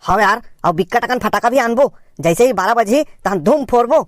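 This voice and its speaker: Party-loving cartoon village sidekick played by a woman, Chhattisgarhi accent, high-pitched, dry recording. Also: lively intonation, a little fast, no echo, lightly acted. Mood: eager. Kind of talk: amateur cartoon comedy dialogue.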